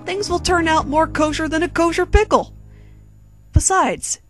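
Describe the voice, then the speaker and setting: A woman's voice: very high voice, measured pace. A woman giving a dramatic comic story reading as narrator, playful and wry, speaking straight to the listener. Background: faint music.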